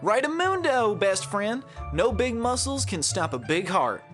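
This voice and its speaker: A man's voice: sits high, very animated, a little slow, a bit bright, soft background music, exaggerated voice. A man playing a cocky, flirtatious, easygoing starship pilot in an audio drama, playful and folksy. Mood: upbeat. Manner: playful, drawling terms of endearment, folksy slang.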